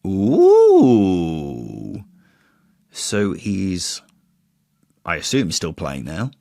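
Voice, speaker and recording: A man's voice: low-pitched, huge pitch swings, drawn-out words, vocal fry, mellow, no room sound, clean studio sound. A man hosting a football podcast, chatting casually with playful, teasing banter. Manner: jokey, playful teasing, mild swearing. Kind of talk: casual banter, quiz chat.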